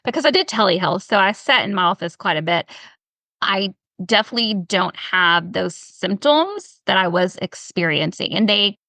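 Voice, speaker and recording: A woman with a Southern US accent, a health professional talking through a podcast interview on a video call, animated and firing off rhetorical questions. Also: medium pitch, moderate pace, no room sound. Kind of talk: casual podcast conversation.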